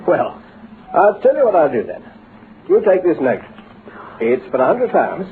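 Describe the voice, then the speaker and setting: A smooth-talking British businessman in an old radio drama. His voice swings animatedly as he flatters and persuades in a brisk, avuncular way. The sound is thin and band-limited, with a light hiss. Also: on the high side, phone-quality sound. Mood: persuasive.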